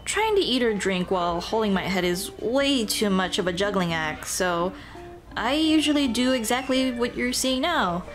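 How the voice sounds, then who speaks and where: A woman's voice: fairly high pitch, normal speed, faint music behind, natural voice. A woman voicing a cheerful headless Dullahan on a date in a scripted ASMR roleplay, chatting playfully and directly to the listener. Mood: chatty, explaining. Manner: playful, animated, punning, speaking directly to the listener.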